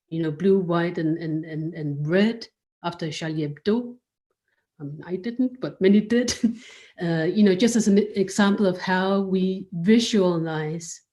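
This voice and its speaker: Low, mellow woman, slow and hesitant. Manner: hesitant, searching for words. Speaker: curator and art space director, guest. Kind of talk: reflective interview answers.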